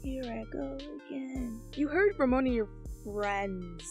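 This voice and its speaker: A woman's voice: sits high, a little slow, over music. A woman reading fan fiction and chatting as the channel's host, with a goofy, joking manner. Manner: goofy, joking, breaks into silly asides. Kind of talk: story narration and playful blooper chatter.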